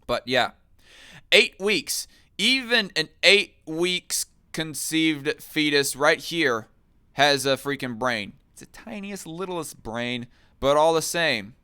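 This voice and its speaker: Bright, crisp-voiced man mocking on stream. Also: a little high, animated, slow. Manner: crude, mocking, argumentative. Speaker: livestream host. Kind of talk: casual livestream commentary reacting to a clip and chat.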